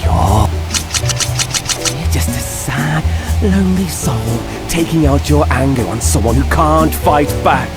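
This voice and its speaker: A man's voice: on the high side, slow pace, over music. An actor playing a bitter, tormented cartoon man in a horror game, with a theatrical, volatile, foul-mouthed delivery. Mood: menacing, bitter. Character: tormented cartoon man, bitter, taunting, vengeful, then desperate. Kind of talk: dramatic visual novel game voice acting.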